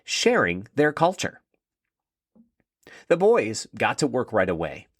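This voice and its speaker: A man narrating a scripted story for children in an expressive read-aloud style. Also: mid-range voice, slow, breathy edge, no echo, clean studio sound.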